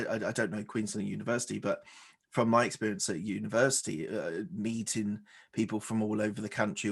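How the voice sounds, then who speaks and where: A man's voice: on the low side, gravelly, husky. A man hosting a business podcast, asking questions with many hesitations and repeated words. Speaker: podcast host. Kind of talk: interview podcast.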